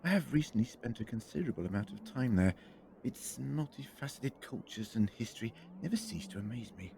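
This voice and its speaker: A man's voice: medium pitch, animated, brisk, vocal fry, warm tone, slight background noise. A man playing a whimsical, worldly alien time traveller in an audio drama, musing eloquently and playfully.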